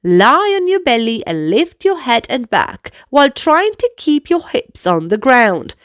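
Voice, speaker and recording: High, lively woman reading to children. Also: no echo, studio quality. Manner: animated, deliberate, addressing children directly. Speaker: children's storybook reader. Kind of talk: expressive read-aloud narration with movement prompts for young children.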